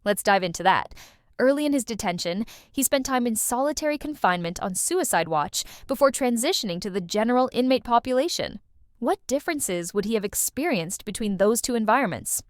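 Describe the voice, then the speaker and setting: A woman's voice: a little high, animated, a little fast, dry recording, clean studio sound. A woman hosting an interview, putting prepared, formal questions to a guest. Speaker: interviewer, host.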